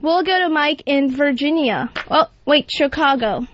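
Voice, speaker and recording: A woman's voice: high voice, measured pace. A woman hosting a talk show in a casual, frank and unembarrassed way. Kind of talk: casual radio chat. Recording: dull lo-fi audio, faint music.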